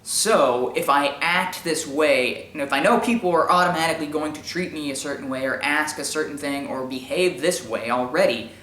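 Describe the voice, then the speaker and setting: A man with a high-pitched voice giving informal opinion commentary in an explanatory, argumentative way with emphatic repetition. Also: moderate pace, hardly any pauses, sharp tone, a little hiss or hum. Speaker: commentary show host. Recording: echoey room sound.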